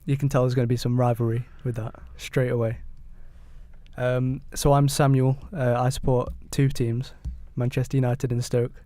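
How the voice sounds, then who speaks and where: A man's voice: mid-range voice, little pitch movement, normal speed, dark tone, no room sound. A man hosting a casual football podcast, easygoing and a little hesitant as he moves the chat along. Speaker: podcast host.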